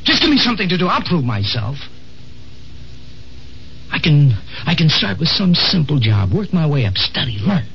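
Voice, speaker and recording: An actor playing a lonely, embittered man lost in the future, halting and theatrical, in 1950s radio drama style. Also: a little high, sing-song, gravelly, slight background noise. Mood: desperate pleading. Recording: dull old radio recording with music behind.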